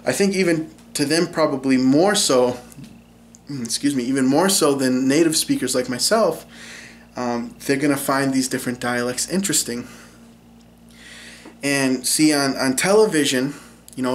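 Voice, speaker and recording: A man's voice: medium pitch, a little hiss or hum. Man with a central Minnesotan accent. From Minnesota, USA. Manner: relaxed and explanatory, frequent "um" and "you know". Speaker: linguist and YouTube English teacher. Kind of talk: casual talk to camera.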